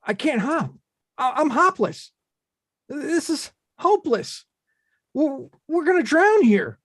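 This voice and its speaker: An earnest, chivalrous little repairman from a cartoon video game , acted by a man. He is polite and excitable, with pitch that leaps about. His pace is unhurried and the sound is close and dry. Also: dry recording, exaggerated voice. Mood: panicked. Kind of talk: animated film table read.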